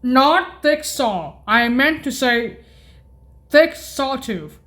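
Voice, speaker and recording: A woman presenting an informal keyboard sound demo in a halting, repetitive way, often correcting herself. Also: sits high, lively intonation, fairly bright tone. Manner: halting, repetitive, frequently self-correcting and apologizing. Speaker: keyboard demonstrator presenting organ voice effects. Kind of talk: informal music demo, announcing preset names.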